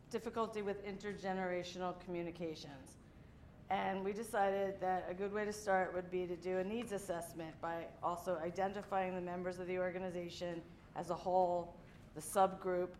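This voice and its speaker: A woman in her fifties gives a brisk timed summary read from notes, her voice sitting a little low for a woman. Faint noise sits behind her in a large conference room. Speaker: conference session presenter.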